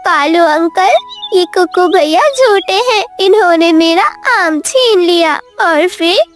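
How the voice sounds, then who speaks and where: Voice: extremely high pitch, animated, slow, fairly bright tone, soft background music, heavily acted. A woman voicing a tattling little girl sparrow chick in a children's cartoon, pleading and tearful.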